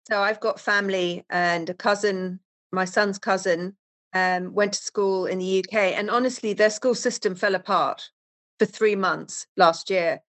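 A woman's voice: mid-range voice, steady tone, a little slow, a bit bright. A woman with an English accent on a panel discussion, speaking with emphatic enthusiasm. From England.

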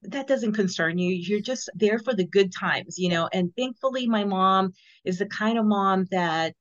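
A woman's voice: medium pitch, normal speed, dull audio. A woman in her fifties from Los Angeles, born in El Salvador, telling her own story casually as a podcast guest, often dropping in "you know". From born in El Salvador, grew up in Los Angeles. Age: 50s. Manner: conversational, peppered with "you know".

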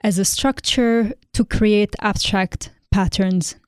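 A woman from Lebanon, a guest artist in an interview, speaking thoughtfully and pausing often with ums. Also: medium pitch, clear, resonant tone, no room sound, clean studio sound. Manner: thoughtful, hesitant with frequent ums. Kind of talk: interview conversation.

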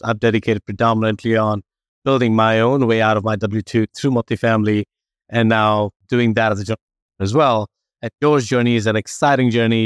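A man's voice: mid-range voice, smooth, no echo, clean studio sound. A man with an Indian accent hosting a podcast interview, friendly and rambling with many restarts. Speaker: podcast host. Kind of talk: casual interview chat.

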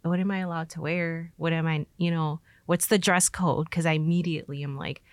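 A woman's voice: sits low, fairly even intonation, brisk, dry recording. A woman talking casually as an artist being interviewed, telling things informally with quoted asides.

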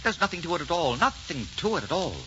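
Romantic young prince, impudent, impassioned, widely swooping pitch, 1940s radio drama, noisy old recording. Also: male voice, very animated, fast talker, muffled recording. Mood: brisk, confident. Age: 33 as played. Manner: theatrical, impassioned.

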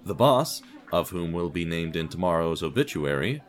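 A man narrating a scripted fiction podcast in a wry, dramatic manner with deliberate phrasing. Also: deep voice, sing-song.

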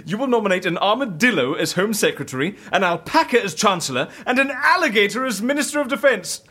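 An actor playing a gleeful, eccentric schemer in an audio comedy, theatrical, bossy and relishing every word. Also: male voice, high voice, very animated, quick pace, bright, forceful tone, breathy edge, exaggerated voice. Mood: gleeful, commanding. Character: gleeful eccentric male schemer plotting absurd political stunts. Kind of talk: audio comedy drama acting.

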